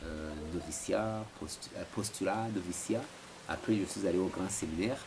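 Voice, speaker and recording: A man's voice: sits low, moderate pace. A man in his forties from Rwanda, answering interview questions at home with many hesitations and repeated words. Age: 40s. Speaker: interviewee, philosopher. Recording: noisy home recording.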